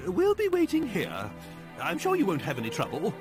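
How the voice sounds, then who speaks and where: A man's voice: high voice, wildly swooping pitch, normal speed, voice pushed up. A man voicing a pompous, bossy quest companion from a video game, declaiming in a grandiose, theatrical way. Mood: bossy, triumphant. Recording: game music behind the voice.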